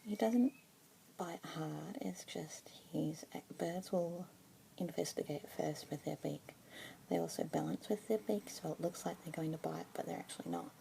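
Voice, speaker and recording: A young woman in a whispered ASMR roleplay as a pet adoption consultant, speaking slowly and gently in a soft unvoiced whisper. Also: fairly low pitch, creaky, a little hiss or hum, rough recording.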